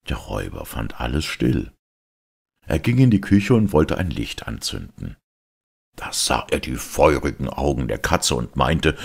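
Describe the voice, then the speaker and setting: A man calmly reading a fairy tale aloud as narrator. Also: a bass voice, creaky, breathy edge.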